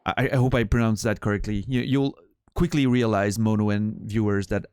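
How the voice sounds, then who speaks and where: A man's voice: lowish voice, fairly even intonation, normal speed, a little mellow, smooth, dry recording, clean studio sound. A man from Switzerland with a Swiss French accent, a native French speaker, chatting casually with his stream viewers in a rambling, profane way full of restarts.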